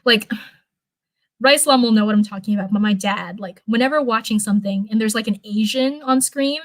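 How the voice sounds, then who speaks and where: A young woman chatting casually on a livestream, rambling with lots of fillers and restarts, her voice getting hoarse. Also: medium pitch, fairly quick, dry recording. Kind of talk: casual livestream chat, answering viewers.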